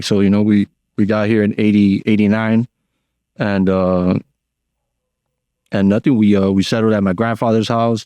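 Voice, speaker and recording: A Cuban-born man, a guest talking casually on a podcast in a slangy, relaxed way full of fillers. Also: fairly low pitch, clean tone, dry recording. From Cuba. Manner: laid-back slangy talk with many fillers.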